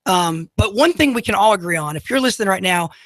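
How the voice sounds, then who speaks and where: A man in his thirties with an unusually high voice, hosting a radio talk show in an upbeat, motivational way with many fillers. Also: animated, quick pace, bright, forceful tone, no echo. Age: 30s. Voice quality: high, unusual voice often mistaken for a woman. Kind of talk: talk radio monologue with ad reads. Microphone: studio microphone.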